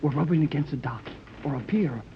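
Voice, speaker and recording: An actor playing an eager, earnest young lad in a 1930s radio serial, boyish and polite, exclaiming and slightly halting. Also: male voice, on the high side, lightly acted. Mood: excited, alert. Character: eager, earnest boy adventurer, polite and excitable. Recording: muffled, noisy 1930s radio recording.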